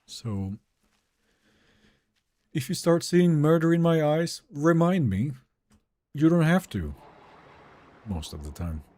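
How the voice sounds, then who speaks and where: Swedish male streamer, easygoing and chatty, animated pitch, mellow tone. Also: slow pace, pauses often. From Sweden. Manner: relaxed, chatty, answers chat questions. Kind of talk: live gameplay stream, chatting with viewers.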